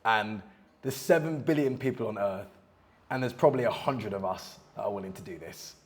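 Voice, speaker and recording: A young man from the West Indies, chatting casually in a vlog, animated and self-mocking, swearing and saying "like" a lot. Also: lowish voice, brisk. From Guyana and the West Indies. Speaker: vlogger and outreach coach. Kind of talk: casual vlog talk to camera.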